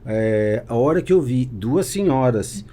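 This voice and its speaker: A man's voice: mid-range voice, lively intonation, measured pace, mellow. A man with a Brazilian accent chatting casually and telling anecdotes as an interview guest. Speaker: podcast guest, business owner. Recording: clear background noise, room ambience.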